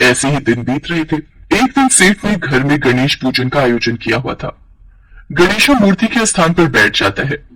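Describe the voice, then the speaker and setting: A man with a fairly high, slightly husky voice narrates a cartoon tale. His delivery is scripted storybook narration, telling events in order. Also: big pitch swings, normal speed, fairly bright tone, rough recording.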